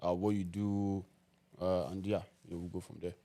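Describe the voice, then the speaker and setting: A man's voice: sits low, level tone, normal speed, warm tone. A man from West Africa hosting a podcast, asking questions in a loose, casual way full of fillers. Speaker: podcast host. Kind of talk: casual interview chat.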